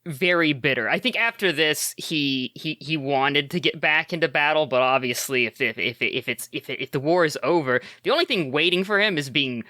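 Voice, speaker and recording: A man's voice: a little high, moderate pace, hardly any pauses, bright, forceful tone, no room sound, clean studio sound. A man playing a tabletop role-playing game who chats casually and often stammers and restarts. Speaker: player.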